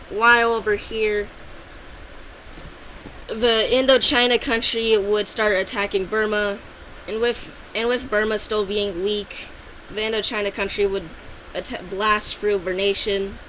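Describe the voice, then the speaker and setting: Bright-voiced woman rambling through map commentary. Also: medium pitch, a little hiss or hum, rough recording. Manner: loose and rambling, with frequent restarts and self-corrections. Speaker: alternate-history map narrator. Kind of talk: casual unscripted map commentary.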